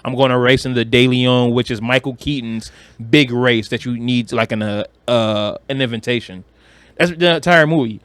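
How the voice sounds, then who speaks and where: A man's voice: mid-range voice, moderate pace, a bit bright, clear, resonant tone, no room sound. An American man from Detroit co-hosts a casual movie podcast. His voice sits in a middle register and is recorded dry. He talks like a slangy, joking storyteller, loose and conversational. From Detroit, United States. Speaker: podcast co-host.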